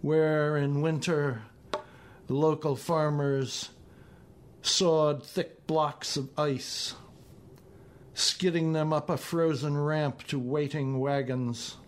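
A man in his seventies with an American accent, reading his own poetry and prose in a deliberate, measured way. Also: on the high side, steady tone. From northeastern United States. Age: 70s. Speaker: poet reading own work.

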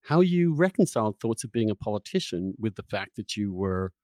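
A man's voice: lowish voice, big pitch swings, fairly quick, a little mellow, no room sound. Male podcast host in a dry, close recording. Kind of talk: conversational interview.

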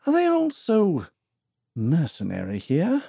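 Man playing an awkward prim young gent, higher pitch, stammers, storybook. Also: wildly swooping pitch, takes it slowly, creaky, dark tone, husky, no echo, clean studio sound, exaggerated voice. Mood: flustered. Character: flustered polite young gentleman traveler.

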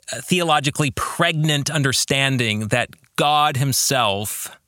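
A man's voice: a little high, sharp tone, no room sound, clean studio sound. A man hosting a podcast as a theology teacher, speaking in an academic, emphatic way as he explains ideas and reads aloud. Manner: academic, emphatic, asks the listener reflective questions. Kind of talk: book discussion podcast, teaching and reading quotations aloud.

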